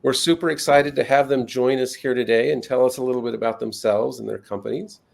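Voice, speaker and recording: A man's voice: medium pitch, fairly even intonation, brisk, vocal fry, darkish tone. A man hosting an online interview in a friendly, loose way, with many fillers. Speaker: host.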